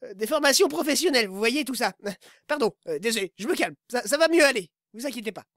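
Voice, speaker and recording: Shrill, panicky pirate killjoy. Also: male voice, expressive, fast talker, a bit bright, no room sound, studio quality, exaggerated voice. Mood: nervous, apologetic. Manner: stammering, nervous, apologetic. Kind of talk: comic pirate audio drama.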